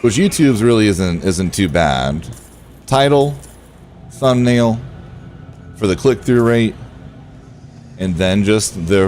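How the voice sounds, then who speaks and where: Deep-voiced man chatting on a livestream. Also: slight background noise. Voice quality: relaxed voice. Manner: chatty, thinking aloud, addressing viewers by name. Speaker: game livestreamer. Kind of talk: casual livestream chat over gameplay.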